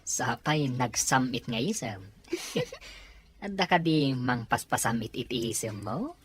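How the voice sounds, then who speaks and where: A woman voicing an easygoing young male office friend in an audio drama, with husky, bantering, casual delivery. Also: extremely low pitch, animated, a little slow, slight background noise. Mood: teasing. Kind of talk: Ilocano radio-style drama acting.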